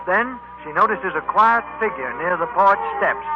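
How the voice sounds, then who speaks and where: A man's voice: high voice, lively intonation, moderate pace. A man giving scripted scene-setting narration for a 1940s radio drama. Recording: dull lo-fi 1940s radio recording. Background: music.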